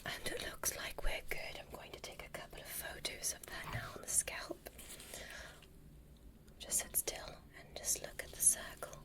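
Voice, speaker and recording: A woman whispering softly in an ASMR medical roleplay, giving soothing, reassuring and unhurried instructions as an examiner. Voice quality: breathy whisper. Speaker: ASMR performer.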